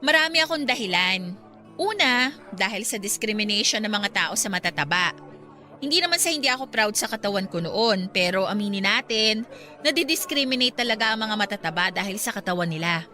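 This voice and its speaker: An actress playing a hopeful but easily hurt young woman in a Tagalog radio romance, expressive and pleading. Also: medium pitch, brisk, faint music behind. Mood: earnest. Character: lovelorn young woman, newly slimmed, hopeful yet hurt.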